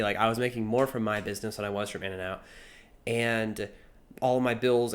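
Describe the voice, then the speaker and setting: A man of about twenty with a slightly low voice. He talks casually to camera like a vlogger, earnest and a bit rambling as he shares his thoughts. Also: a bit bright. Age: 20 years old. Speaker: vlogger and young entrepreneur.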